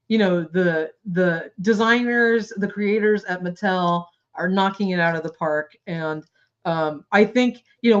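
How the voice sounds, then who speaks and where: A woman co-hosts a casual toy news chat with a hoarse, fading voice. She giggles often and talks with eager enthusiasm. The recording is a little dull and lo-fi. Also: mid-range voice. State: hoarse, losing her voice. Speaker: co-host of a toy news show.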